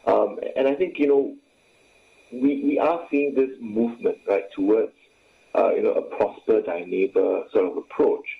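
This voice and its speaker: A professor joining an interview over a remote link, talking haltingly and filling with "you know" constantly. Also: male voice, on the low side, vocal fry. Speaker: professor, guest expert. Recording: tinny phone-like line.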